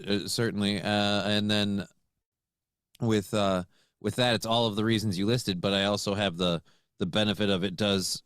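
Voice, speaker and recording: A man in his late forties talks frankly in a relaxed, steady way, often repeating a word before going on. His voice is fairly low for a man. The sound is dry and close. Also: clear, resonant tone, no echo. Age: 40s. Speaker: co-host. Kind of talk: casual chat.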